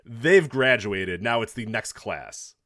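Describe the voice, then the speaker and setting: Male podcast co-host for comedic film talk: loose, jokey, sweary delivery, animated swings, very dry close recording. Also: on the low side, slow, dry recording. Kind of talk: casual comedic movie chat.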